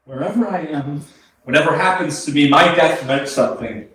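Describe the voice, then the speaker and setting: A man's voice: a little high, moderate pace, fairly bright tone, close to an everyday voice. A man in a staged play reading as the ghost of a brother who died young, speaking earnest, poetic monologue in a grieving, tender way. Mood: solemn, consoling. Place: small arts centre stage, recorded from a distance. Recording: echoey theatre hall, distant mic, faint noise.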